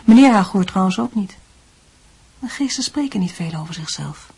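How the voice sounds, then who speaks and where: A middle-aged mother in a Dutch radio drama. Her voice is husky, with a gentle, doting way of explaining. She tends to sidestep evasively. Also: mid-range voice, animated, normal speed, heavily acted.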